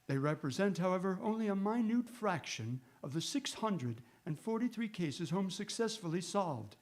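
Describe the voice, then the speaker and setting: An actor playing a genial, admiring gentleman companion and chronicler in a radio drama, with a genteel, old-fashioned manner. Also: high-pitched, very animated, normal speed. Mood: fond, reflective. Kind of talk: radio drama acting and narration.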